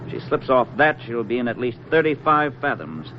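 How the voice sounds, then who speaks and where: An actor playing a bitter, fatalistic former submarine skipper turned diver in a 1940s radio drama, curt and sardonic. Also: male voice, medium pitch, very animated, brisk, close to an everyday voice. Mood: matter-of-fact. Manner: curt, sardonic, hard-boiled. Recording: muffled, noisy 1940s radio recording.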